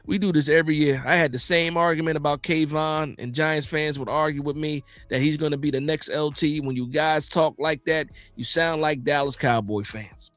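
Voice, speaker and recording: An American man hosting a casual football livestream, reading out viewer comments and reacting in slangy, animated, informal talk. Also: a little high, moderate pace, hardly any pauses, no echo, faint music behind. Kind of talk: casual fan chat about football.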